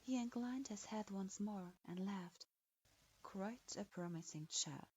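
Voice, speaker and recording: A woman narrating fan fiction in a slow, measured reading. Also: mid-range voice, a little mellow, husky. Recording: dull lo-fi recording.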